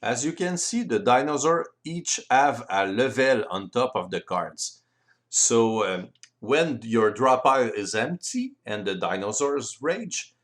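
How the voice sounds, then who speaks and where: Slow-paced French man explaining game rules. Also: medium pitch, a bit bright. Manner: careful, deliberate non-native English delivery. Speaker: board game rules presenter. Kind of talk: explanatory tutorial voice-over.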